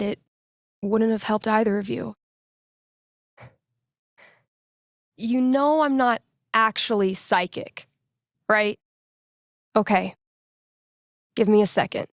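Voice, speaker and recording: A woman's voice: mid-range voice, no room sound, clean studio sound, lightly acted. An actress playing a candid young woman radio DJ in a video game, speaking in a natural, earnest, conversational way with wry humor. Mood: gentle, thoughtful.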